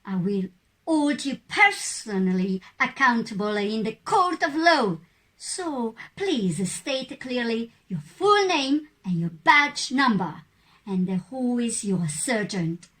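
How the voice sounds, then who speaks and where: A woman playing a defiant citizen who makes firm, deliberate statements in a scripted puppet show. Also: a little high, very animated, fairly bright tone, heavily acted. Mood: firm, warning.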